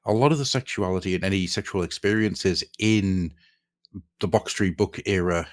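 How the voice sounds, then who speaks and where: A man hosting a casual podcast discussion, talking in a halting way as he breaks off and searches for his words. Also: deep voice. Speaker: podcast host.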